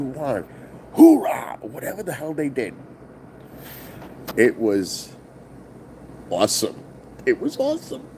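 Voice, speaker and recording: A loud-voiced middle-aged man, streaming casually from his phone. His voice sits a little low but swings widely in pitch as he tells a profane, rambling story. A faint hum of background noise sits under him. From New York. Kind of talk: casual live-stream vlog. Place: hotel, indoors.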